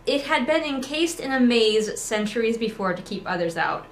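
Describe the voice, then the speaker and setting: A woman's voice: on the high side, expressive, normal speed, reverberant, slight background noise. A woman giving casual, comedic book commentary as a host, in a sarcastic, sweary, conversational manner. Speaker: book critic and host of a readalong.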